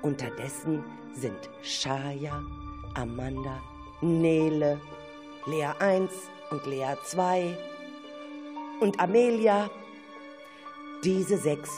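Male narrator for a children's audio drama: unhurried, suspenseful delivery, clearly audible music underneath. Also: on the high side. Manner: dramatic, suspenseful storytelling with exclamations. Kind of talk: children's radio play narration. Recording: music playing behind the voice.

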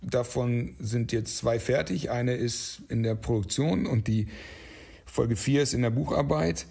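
A man's voice: mid-range voice, unhurried, creaky, warm tone, husky. A man answering interview questions conversationally as the creator of an audio drama project.

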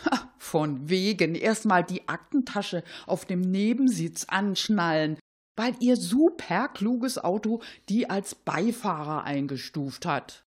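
A woman hosting a satirical cabaret radio show, speaking with playful irony and a husky voice. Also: fairly low pitch, slow pace. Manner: playfully ironic, animated.

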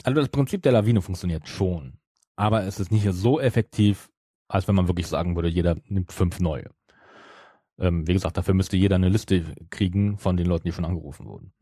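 A man's voice: deep voice, a little fast, mellow, breathy edge, no echo, studio quality. A middle-aged man hosting a podcast in casual chat, explaining and qualifying his points. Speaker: podcast host. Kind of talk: casual chat about an audio drama series.

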